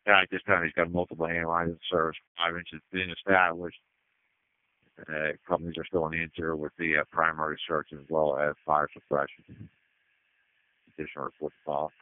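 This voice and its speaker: A man acting as fire incident commander, giving measured procedural reports with hesitant pauses over two-way radio. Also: a bass voice, flat delivery, no room sound. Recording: muffled, phone-like radio transmission.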